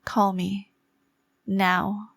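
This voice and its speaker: A woman playing an obsessive, possessive yandere girlfriend, menacing yet fragile and devoted, in a dramatic, halting ASMR roleplay style. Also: mid-range voice, little pitch movement, fairly bright tone, no echo, exaggerated voice. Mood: cold menace.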